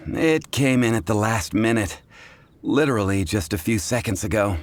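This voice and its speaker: A man voicing a polite, harried barkeeper in an animated game, formal and deferential. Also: on the low side, a little slow, a little hiss or hum. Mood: courteous, harried. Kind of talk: animated game dub acting.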